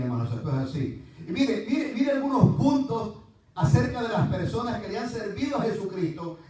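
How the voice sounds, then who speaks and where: Fervent high-voiced preacher in an echoey room. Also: male voice. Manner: fervent, animated, acts out quotes, exclaims praise. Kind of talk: sermon, livestreamed church program. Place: church. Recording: echoey room, mic at a distance.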